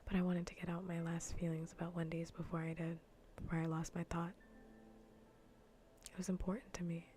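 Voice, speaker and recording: Lady streamer whispering asmr, breathy n close up, pretty flat pitch, rambly and playful, bit of background noise. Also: fairly quick. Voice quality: soft, breathy, mostly whispered. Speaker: livestreamer hosting an ASMR gaming stream. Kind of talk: soft ASMR casual chat to viewers. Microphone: close ASMR microphone.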